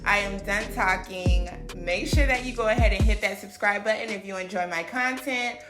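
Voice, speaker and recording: An upbeat woman talks through a home cooking video in a loose, chatty way, full of little fillers. Her voice sits mid-range and rings in a roomy space, with clear music playing underneath. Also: medium pitch, moderate pace. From United States. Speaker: cooking vlogger. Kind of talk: casual vlog narration. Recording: roomy echo with background music.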